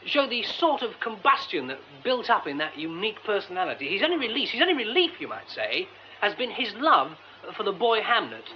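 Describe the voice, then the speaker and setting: An actor playing an earnest, diplomatic playwright-director in a British radio drama, polite and a little hesitant. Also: male voice, sits high, huge pitch swings, fairly quick. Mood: earnest, buoyant. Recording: muffled radio drama recording, faint noise.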